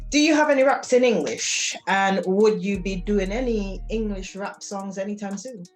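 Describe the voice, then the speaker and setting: A woman's voice: mid-range voice, normal speed, soft background music. A woman interviewing a group, asking questions with many fillers.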